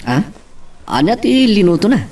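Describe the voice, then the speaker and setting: Excitable Filipino family man played by a man, highish voice, exclamatory, audio drama, recorded a little distant. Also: moderate pace, heavily acted. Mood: groggy, eager. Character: excitable husband and father, exclaiming, defensive. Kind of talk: Ilocano radio-style drama acting. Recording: distant mic, faint background noise.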